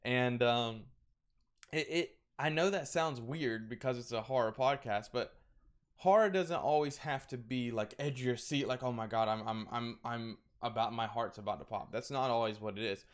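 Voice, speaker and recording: A man talks in a loose, rambling way, full of "like" and false starts. His voice is crackly, yet it comes through smooth and clean. He sits at a middle pitch for a man. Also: moderate pace. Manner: rambling, frequent fillers and restarts. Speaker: streamer recommending horror content. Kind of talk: casual recommendation chat.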